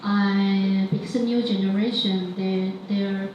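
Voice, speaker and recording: Woman, from Taiwan, non-native English, halting, mellow, distant echoey mic. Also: medium pitch, level tone, slight background noise. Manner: halting, searching for English words. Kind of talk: panel discussion answer. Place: event hall. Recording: echoey hall, distant mic, dull sound.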